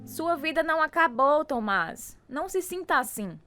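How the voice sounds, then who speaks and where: An actress playing a caring, anxious wife in a radio drama, with a Brazilian accent and a reassuring, pleading way of talking. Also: female voice, sits high, sing-song, normal speed, sharp tone, dry recording, faint music behind, full character voice. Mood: encouraging. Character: caring, anxious, encouraging wife of a troubled man.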